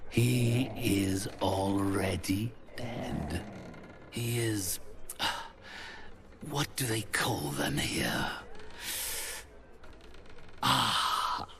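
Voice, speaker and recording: An actor playing a haughty, cold, mercenary necromancer in a fantasy game, speaking slowly with theatrical condescension. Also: male voice, sits low, fairly bright tone, slight background noise, heavily acted. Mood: disdainful, menacing. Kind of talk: cinematic fantasy game dialogue.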